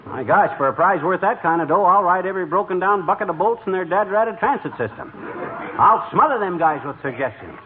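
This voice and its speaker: A scheming blowhard hubby, highish lively voice, folksy wisecracks, old noisy muffled radio comedy. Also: male voice, a little fast. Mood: indignant, boastful. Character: blustering, scheming husband, boastful and easily riled. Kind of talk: 1940s radio comedy acting.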